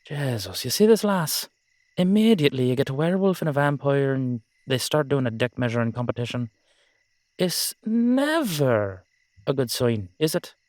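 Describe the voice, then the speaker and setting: A man's voice: mid-range voice, big pitch swings, moderate pace, darkish tone, no room sound, voice pushed up, close to an everyday voice. A man voicing an exasperated, foul-mouthed Irish dullahan horseman in an audio roleplay drama, blustering and complaining with an Irish accent. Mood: mocking.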